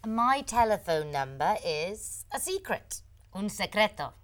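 A woman's voice: medium pitch, very animated, a little slow. A woman co-hosting a bilingual English lesson, playful and teasing with her partner as she models English phrases. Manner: playful, teasing banter with co-host. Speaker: language teacher co-host.

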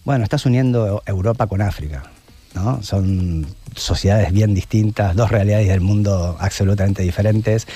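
A man's voice: on the low side, normal speed, mellow, breathy edge. A middle-aged man from Argentina with an Argentine Spanish accent, giving reflective, explanatory answers as a guest in a conversational interview. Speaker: interview guest, long-distance open-water swimmer.

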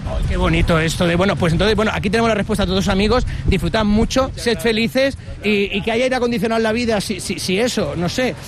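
High-voiced chatty reporter. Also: male voice, fast, a crowd in the background. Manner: chatty, joking, many fillers. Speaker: radio street reporter. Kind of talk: casual street interview. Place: outdoors on a busy city street.

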